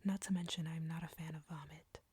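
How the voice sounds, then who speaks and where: Female vampire captor, acted by a woman, audio roleplay: low, close and dry, whispery, slow, smug and flirtatious. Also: steady tone, mellow, no echo. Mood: smug teasing. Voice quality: breathy, whispery. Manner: slow, taunting, condescending.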